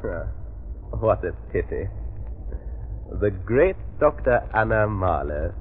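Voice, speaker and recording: An actor playing a cold, mocking, ruthless killer with a menacing sneer in 1930s radio acting. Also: male voice, fairly low pitch, sing-song, slow. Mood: mock pity. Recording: tinny, noisy 1930s radio recording.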